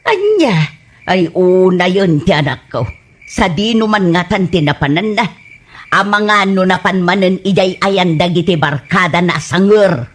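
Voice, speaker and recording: Lady playing a dramatic husky woman, ilocano filipino accent, really animated intonation, comedy radio drama. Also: fairly low pitch, unhurried. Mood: matter-of-fact.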